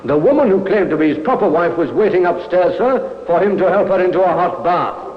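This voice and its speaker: An actor playing a dutiful, matter-of-fact police sergeant, clipped and respectful, in old radio drama style. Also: male voice, high voice, animated, brisk. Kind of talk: 1950s radio drama acting. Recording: echoey, muffled old radio recording.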